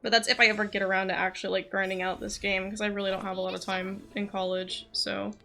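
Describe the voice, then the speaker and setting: A woman's voice: mid-range voice, little pitch movement, fast talker, creaky, pushed and bright. A young woman chatting casually and rambling as she streams a game. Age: young adult. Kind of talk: casual livestream chat while gaming. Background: game music and voices.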